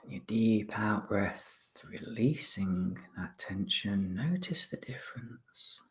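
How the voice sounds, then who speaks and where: A man instructing listeners through a guided body scan relaxation, speaking politely in short phrases with pauses. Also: lowish voice, lively intonation, whispered.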